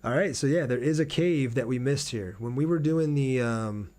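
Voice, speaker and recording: A man's voice: mid-range voice, normal speed, darkish tone. A man doing casual live gameplay commentary as a streamer, chatty, thinking aloud and reading out viewer messages.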